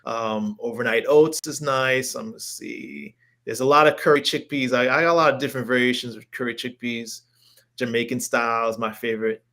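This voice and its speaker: An enthusiastic man with a mid-pitched voice hosts a casual livestream as a health coach. He rambles as he browses, often repeating his phrases in an informal, chatty way. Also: fairly even intonation, normal speed, bright, forceful tone. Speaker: health coach hosting a live show. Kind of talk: casual livestream chat while browsing recipes.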